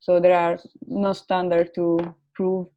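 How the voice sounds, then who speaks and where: Panelist, woman, Italian accent, low voice, halting, thoughtful; lo-fi dry call audio. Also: monotone, mellow, dry recording. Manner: thoughtful, searching for words, frequent uh. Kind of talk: reflective panel talk on a video call. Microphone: video call.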